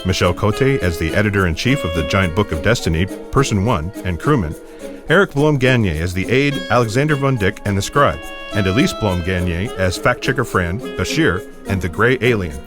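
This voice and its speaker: Male announcer, lowish voice, scripted credits read, clear musical backing. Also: lively intonation, fairly quick. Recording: music behind the voice.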